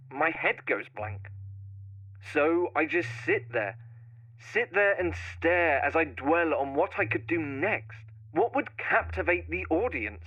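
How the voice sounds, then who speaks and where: A man acts out a brooding, menacing writer narrating his own horror tale. The delivery is slow and deliberate with dramatic pauses, in a fairly high, tense, bright voice. The recording is slightly dull and narrow. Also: full character voice. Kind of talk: first-person scripted horror monologue.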